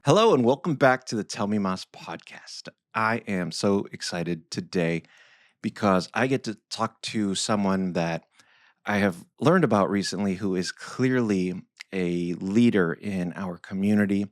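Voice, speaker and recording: A man's voice: lowish voice, unhurried, dry recording. A man in his forties hosting a podcast interview, enthusiastic and effusive, with fillers and restarts. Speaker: podcast host.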